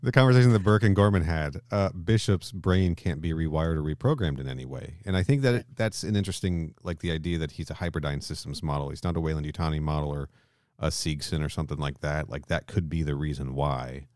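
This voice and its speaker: A man's voice: extremely low pitch, fast, darkish tone, no echo, clean studio sound. A man co-hosting a podcast in a casual, jokey, bantering conversational style. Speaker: podcast host. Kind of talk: casual film and comic discussion chat.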